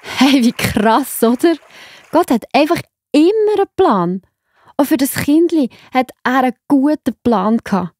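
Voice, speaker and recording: A woman's voice: high-pitched, expressive, unhurried, darkish tone, no echo, studio quality. A woman with a Swiss accent narrating a children's story in a chatty way, speaking directly to her listeners.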